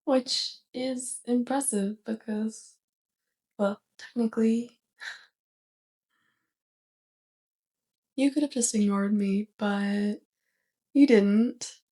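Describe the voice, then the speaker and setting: A woman playing a sweet, curious, awkward alien roommate with a crush, speaking gently and haltingly in a soft ASMR roleplay. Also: on the high side. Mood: shy, grateful. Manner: gentle, hesitant, halting delivery.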